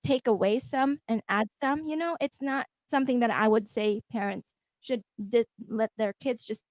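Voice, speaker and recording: A woman's voice: fairly high pitch, normal speed, dry recording, rough recording. A woman chatting on a radio panel, telling stories in an emphatic, talkative way. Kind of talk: casual radio chat.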